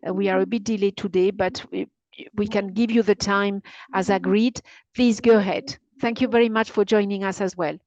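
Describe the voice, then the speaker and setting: A woman hosts an online session and hands over to the next speaker. She speaks politely and hesitantly, pausing on fillers. The sound is dry, with no room echo. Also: medium pitch, fairly even intonation, a little mellow, muffled recording. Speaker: session moderator. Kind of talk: moderating a webinar.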